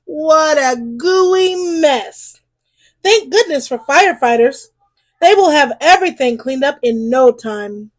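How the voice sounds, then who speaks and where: A woman reading a children's story aloud in an expressive way, drawing out key words for emphasis. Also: sits high. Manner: animated, drawn-out emphasis on exclamations and key phrases.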